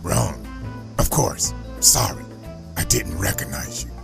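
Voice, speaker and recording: A man playing a gruff, suspicious robot security chief, curt, officious and sardonic. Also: low voice, very animated, slow, over music, exaggerated voice.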